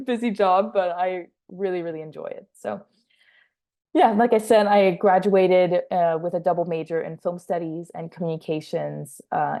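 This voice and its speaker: Woman, twenties, French Canadian accent, warm tone, casual student talk, chatty with fillers. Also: on the low side. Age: 20s. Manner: chatty, many fillers and restarts, light laughs. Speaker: guest speaker, assistant editor.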